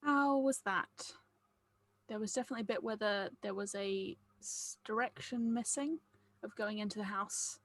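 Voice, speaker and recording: A woman's voice: medium pitch, moderate pace, dry recording. A woman hosting a table read, chatting casually with the group. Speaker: host running a table read.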